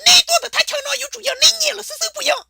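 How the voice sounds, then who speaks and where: A woman voicing an excitable, shrill comic cartoon character with a squeaky, creaky voice, prone to bursting into shouts. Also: racing, heavily acted. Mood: chattering.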